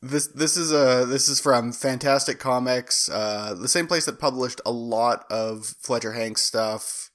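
A man's voice: medium pitch, a little slow, few pauses, a bit bright. A man co-hosting a podcast in casual banter, with a playful, joking manner. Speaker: podcast co-host.

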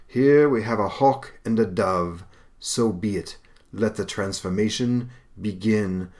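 A man voicing a grand, verbose disembodied spirit who speaks slowly and solemnly. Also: fairly low pitch, a little mellow, natural voice. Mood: commanding. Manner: slow, solemn, pompous.

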